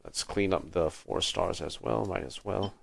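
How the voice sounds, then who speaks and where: A man's voice: low voice, measured pace, vocal fry, slight background noise. A man livestreaming a video game with casual commentary, thinking aloud in short remarks. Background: game music.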